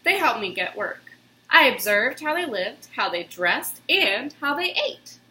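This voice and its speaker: A woman reads a children's book aloud in a theatrical, expressive way. Her voice is bright and swoops widely, taking its time over each phrase in a roomy, echoing space. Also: on the high side, measured pace, slight background noise. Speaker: storybook reader. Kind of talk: dramatic children's book reading. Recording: roomy, echoing room sound.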